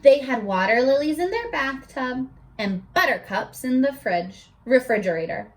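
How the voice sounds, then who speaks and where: A woman's voice: high voice, normal speed. A woman teacher reading a picture book aloud to children, stopping to explain words and ask for their predictions. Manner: animated, asks children questions.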